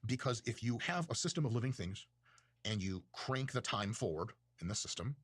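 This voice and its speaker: A man's voice: medium pitch, very animated, normal speed, a bit bright, husky, no room sound, studio quality. A man from Russia, giving a casual, rambling talk on philosophy in a profane, self-mocking way. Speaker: video creator. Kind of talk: casual monologue lecture.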